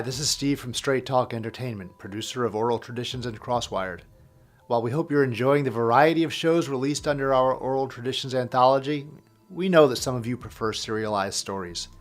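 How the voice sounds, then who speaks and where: A man giving scripted credits and announcements as a show's producer, in a friendly, straightforward way. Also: medium pitch. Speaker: producer and announcer of audio drama show.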